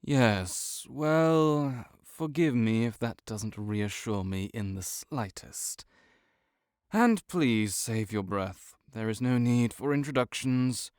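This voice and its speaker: A man's voice: medium pitch, animated, slow pace, a little mellow, clean tone, no room sound, clean studio sound. A man playing a proud, sardonic invalid nobleman in an audio roleplay, speaking with disdainful, formal, old-fashioned diction. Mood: haughty, dismissive. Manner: haughty, archaic formal diction, disdainful drawl. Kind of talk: scripted audio roleplay monologue.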